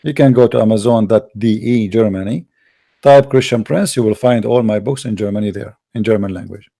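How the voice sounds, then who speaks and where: A man's voice: lowish voice, fairly quick, gravelly, dark tone. A man hosting a live debate stream, lecturing in an emphatic, argumentative way. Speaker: livestream debate host. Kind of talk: lecturing religious debate talk.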